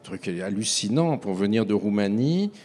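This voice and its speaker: Halting, reflective older French man. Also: fairly low pitch, lively intonation, darkish tone. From France. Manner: halting and reflective, with many hesitations. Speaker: author guest. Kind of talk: radio interview.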